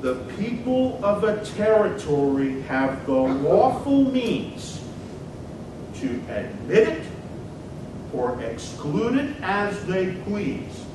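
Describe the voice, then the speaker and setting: A combative 19th-century senator acted out by a man declaims in a high-pitched voice, formal and emphatic. It is a dramatic reading of a stump debate speech, picked up at a distance in a very reverberant hall with clear rumbling noise. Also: very animated, slow pace, full character voice. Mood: firm, declaring.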